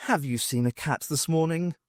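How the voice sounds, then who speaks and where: A man's voice: fairly high pitch, normal speed, darkish tone, dry recording, clean studio sound, natural voice. A man voicing a wry, friendly woman academic with a teasing manner in an audiobook. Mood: friendly, concerned.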